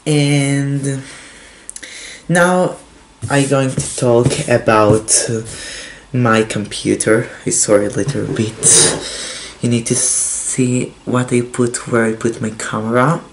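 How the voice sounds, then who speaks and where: A teenage boy from Israel, a native Hebrew speaker, talking in halting English with a Hebrew accent and many fillers while showing his laptop. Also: mid-range voice. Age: teens. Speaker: tech vlogger. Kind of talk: casual unboxing and review vlog. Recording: roomy echo, faint hiss.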